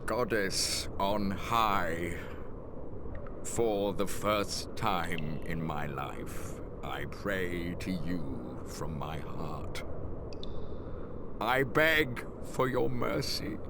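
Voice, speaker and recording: An actor playing a scheming, self-pitying older priest in a video game, with a pompous, theatrical manner. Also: male voice, low voice, expressive, very slow, background noise, full character voice. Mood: solemn, pleading. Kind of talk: dramatic video game voice acting.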